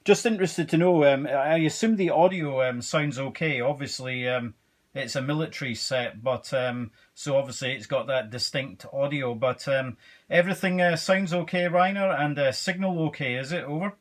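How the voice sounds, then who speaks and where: A man talking casually as an amateur radio operator, with halting phrasing and radio procedure words. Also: mid-range voice. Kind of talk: casual ham radio exchange.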